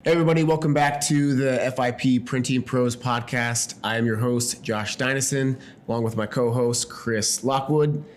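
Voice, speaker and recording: A man hosting a podcast, welcoming a guest and asking questions in a halting, casual way with many restarts. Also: mid-range voice, steady tone, soft background music. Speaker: podcast host.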